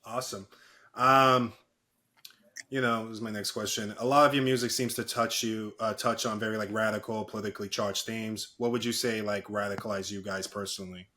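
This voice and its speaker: A Haitian American man hosting a podcast, asking relaxed questions and giving short agreeing replies. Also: on the low side, a little fast. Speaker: podcast host. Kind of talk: casual interview hosting.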